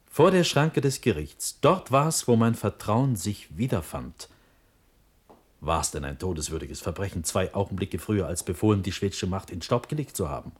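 Young prussian prince, old verse radio play, impassioned and sure of himself, husky, really animated pitch. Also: male voice. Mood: insistent, confident. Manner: declamatory, impassioned, swinging from urgent to hushed. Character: young Prussian prince, impulsive, trusting, proudly confident.

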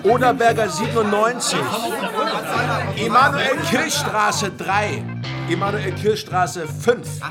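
An actor playing a solemn bohemian ringleader in a radio play, with a deliberate, declaiming manner. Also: male voice, high-pitched. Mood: solemn reciting. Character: male bohemian ringleader, solemnly reciting, fond of his goat. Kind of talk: radio drama acting. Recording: busy music and crowd backdrop.

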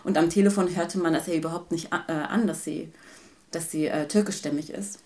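A woman from Germany, a guest in an interview, talking about her life in a thoughtful, hesitant way with many pauses. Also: low-pitched, fairly even intonation, warm tone. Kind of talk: personal conversation.

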